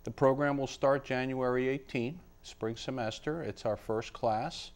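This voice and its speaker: A man in his fifties answering interview questions as a college dean, explaining in a halting, measured way in a studio. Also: mid-range voice. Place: indoor TV studio. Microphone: lapel.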